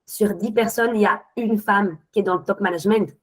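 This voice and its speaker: Woman in her 30s, video-call guest, mid pitch, halting delivery that wanders off topic. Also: breathy edge. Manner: hesitant, many restarts, rambling. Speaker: webinar guest, account manager. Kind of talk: spontaneous testimony.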